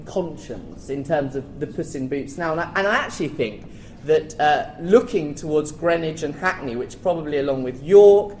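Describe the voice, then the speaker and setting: A man's voice: on the high side, lively intonation, normal speed, darkish tone. A British man giving analytical opinions as a panelist in an informal live discussion. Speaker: panelist, pantomime commentator. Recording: faint background noise, live event.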